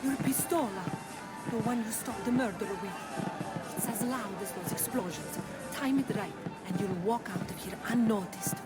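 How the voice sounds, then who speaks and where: Loud music plays behind a commanding Venetian madam, acted by a woman. Her voice is medium in pitch, shrewd and brisk as she gives instructions in a video game. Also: natural voice. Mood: urgent, scheming.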